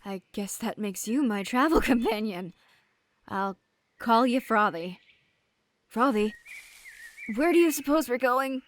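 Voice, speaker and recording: A woman's voice: fairly high pitch, animated, unhurried, long pauses, dry recording. A woman voicing a cheeky, verbose, manic male rogue, theatrical and flippant, in a comic fan dub.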